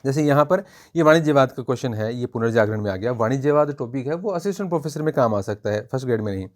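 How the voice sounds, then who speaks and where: A man giving an informal spoken review for students as an exam coach, explaining in a measured way and pointing out examples. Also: medium pitch, animated.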